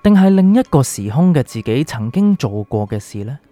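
A man plays a calm, secretive doctor in a Hong Kong Cantonese radio drama. His mellow voice rises and falls widely as he probes and explains in measured, enigmatic phrases, with music underneath. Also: mid-range voice, no room sound, lightly acted. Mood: cryptic, grave. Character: calm, cryptic male doctor with a secret identity.

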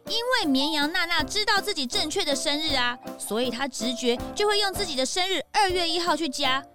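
A woman's voice: high-pitched, lively intonation, a little fast. A woman playing a cheerful, proud young animal sidekick detective in a children's audio drama, playful and confident. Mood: triumphant, confident. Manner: playful, confident, declamatory when deducing. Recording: music behind.

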